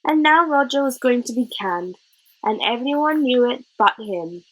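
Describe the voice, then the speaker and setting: Woman narrating a kids book, expressive, voice on the high side and kinda husky. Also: moderate pace, low-quality recording. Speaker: narrator reading a children's novel.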